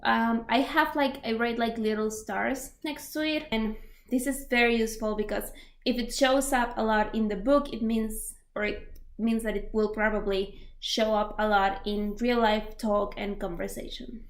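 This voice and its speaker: A woman's voice: fairly high pitch, fairly even intonation, normal speed, roomy sound. A young woman, a native Spanish speaker with a Spanish accent, casually explaining language learning tips with lots of fillers and examples. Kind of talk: casual instructional talk.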